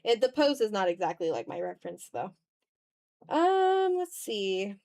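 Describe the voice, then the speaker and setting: A woman's voice: mid-range voice, very animated, measured pace, a little mellow. A woman hosting a casual art livestream, thinking aloud in a self-deprecating way as she draws. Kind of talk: casual chat while drawing on a livestream.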